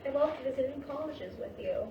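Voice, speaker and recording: A concerned, doting middle-aged mom, acted by a woman in a play reading. Her voice is a little high and insistent, between nagging and reassurance, in a very echoey room with clear background noise. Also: lively intonation, brisk, dull audio. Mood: wistful. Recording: echoey room, distant mic, clear hiss.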